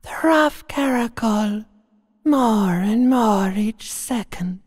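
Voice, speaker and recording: Slow, hissing, high-strained primal brain. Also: male voice, animated, no echo, clean studio sound, in a large hall, heavily acted. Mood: morbid, taunting. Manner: slow, theatrical, drawn-out, swelling from whispers to cries. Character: sinister primal brain voice, sneering, morbid, tormenting. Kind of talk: video game voice acting, menacing monologue.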